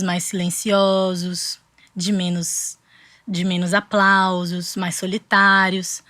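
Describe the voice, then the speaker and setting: A woman's voice: medium pitch, a bit bright, no echo. Young Brazilian woman, dry close sound. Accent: Bahian Portuguese. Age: young adult. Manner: reflective, with frequent restarts and fillers. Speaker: guest artist. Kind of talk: casual interview chat.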